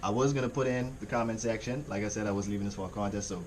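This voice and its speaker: Caribbean guy doing a song reaction, lowish voice, slangy and loose, faint hum in the room. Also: racing, a little mellow. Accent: Caribbean English. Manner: laid-back slangy rambling, repeats himself. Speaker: music reaction host. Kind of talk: casual reaction commentary.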